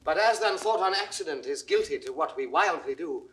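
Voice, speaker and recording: An actor playing an ardent, anxious young prince in an impassioned, declamatory classical Shakespearean style. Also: male voice, on the high side, lively intonation, a little fast, fairly bright tone, rough recording. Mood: wondering, ardent. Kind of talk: classical Shakespearean stage acting, 1960s audio recording.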